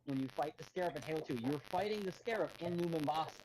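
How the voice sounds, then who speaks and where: A man's voice: fairly high pitch, rapid-fire, a little mellow, slight background noise, rough recording. A man as a podcast guest, chatting casually about video games like an eager fan explaining details.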